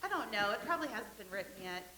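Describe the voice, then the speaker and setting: A woman's voice: mid-range voice, sing-song, fast, bright, forceful tone, slight background noise. A woman playing a vain, starry-eyed young stage actress who gushes naively in a staged play reading. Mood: boastful. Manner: gushing and naive, with giggles. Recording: echoey room.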